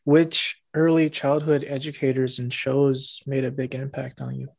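A man's voice: medium pitch, little pitch movement, normal speed, gravelly, a little mellow. A man hosting an interview, asking questions in an easygoing way with small fillers. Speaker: interview host. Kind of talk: casual interview.

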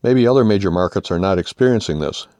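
Low-voiced older American man reading. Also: lively intonation, quick pace, a little mellow, no echo. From United States. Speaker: author reading own humorous essay. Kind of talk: scripted humorous first-person narration.

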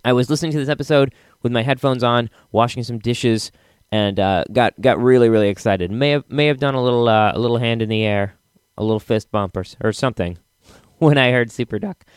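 A man's voice: medium pitch, fairly quick, clear, resonant tone, dry recording, studio quality. A man hosting a podcast in a casual, enthusiastic, rambling style. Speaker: podcast host.

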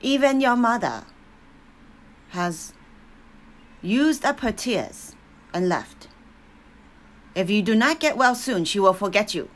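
Stern, shrill elderly matriarch, scolding and slow-spoken, put on by a woman reader. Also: expressive. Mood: cutting.

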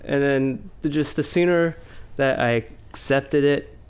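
A man's voice: mid-range voice, measured pace, smooth. A man co-hosting a podcast in casual chat, easygoing and reflective. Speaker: podcast co-host.

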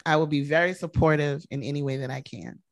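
A woman's voice: deep voice, steady tone, fast talker. A woman co-hosting a comedy podcast, crass and often laughing through her words. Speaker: podcast co-host. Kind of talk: casual comedic chat.

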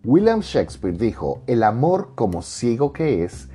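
A man's voice: medium pitch, very animated, measured pace, dark tone, husky, soft background music. A man speaking as a radio drama director, giving an earnest, formal, declamatory speech of thanks.

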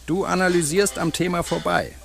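A man as a creaky, pompous philosopher. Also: high voice, fairly even intonation, a little fast, faint music behind. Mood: pompous, lecturing. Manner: pompous, lecturing. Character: pompous, over-analytical village philosopher, male. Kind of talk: comic audio drama acting.

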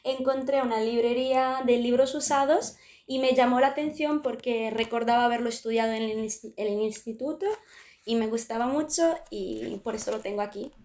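Female guest, higher voice, shy and giggly, short answers. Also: steady tone, normal speed, darkish tone. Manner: giggly, shy, laughs often. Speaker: interview guest. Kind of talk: casual interview answers.

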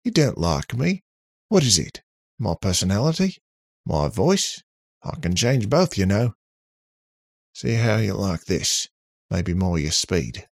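A man's voice: on the low side, very animated, slow pace, gravelly, pauses often, no room sound, studio quality, pitched above the performer's own voice, exaggerated voice. A man voicing a cheeky, needling robot in a story, with a theatrical, teasing manner and shifting put-on accents. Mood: needling, eager. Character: cheeky, shape-shifting, needling male robot with swaggering temper.

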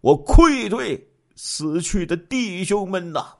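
A man voicing a burdened, emotional male police chief in a story, with emphatic, drawn-out delivery. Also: fairly low pitch, very animated, pitched below the performer's own voice, full character voice. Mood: anguished, tearful.

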